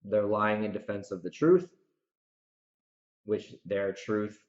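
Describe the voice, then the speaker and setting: A man's voice: on the low side, slow pace, reverberant, muffled recording. A man delivering reflective solo podcast commentary, speaking emphatically and repeating phrases to drive points home. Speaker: podcast host and author. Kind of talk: reflective solo recap commentary, part rant.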